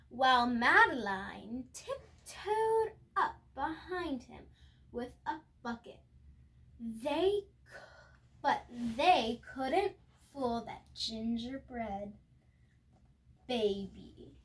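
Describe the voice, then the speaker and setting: Very slow, high-pitched young girl reading aloud. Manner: expressive, halting with stumbles and self-corrections. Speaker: storybook reader. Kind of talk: read-aloud of a picture book with asides to viewers.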